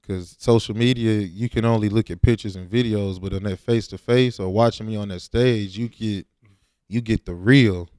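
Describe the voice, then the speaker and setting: A man's voice: lowish voice, steady tone, moderate pace, a little mellow, clear, resonant tone, no echo, clean studio sound. A laid-back man, talking casually and with slang as a rapper in an interview.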